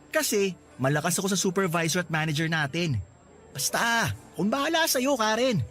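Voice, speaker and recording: A man playing a cheeky, friendly young co-worker who jokes at his own expense in radio drama dialogue. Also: fairly high pitch. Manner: playful, teasing, animated. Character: cheeky, friendly male co-worker, self-mocking joker. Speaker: drama voice actor.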